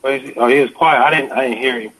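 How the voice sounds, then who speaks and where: A man with a medium-pitched voice phones in to a live show. He talks informally, as in an everyday chat. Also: quick pace, fairly bright tone, breathy edge, rough recording. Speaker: caller. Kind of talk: casual chat.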